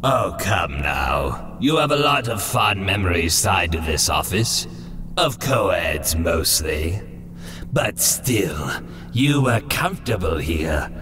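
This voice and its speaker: A man's voice: low-pitched, sing-song, slow pace, fairly bright tone, soft background music, full character voice. A man playing an urbane, arrogant, soul-eating bogeyman demon in a comic dub, speaking formally and imperiously. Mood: smooth menace. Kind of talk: comic dub villain acting.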